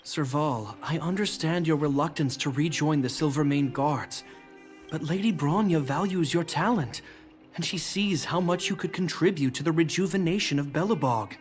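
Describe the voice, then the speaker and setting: An actor playing a dutiful, worried military officer in a video game, speaking in a formal, measured way. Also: male voice, a little high, soft background music, natural voice. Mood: formal, persuading.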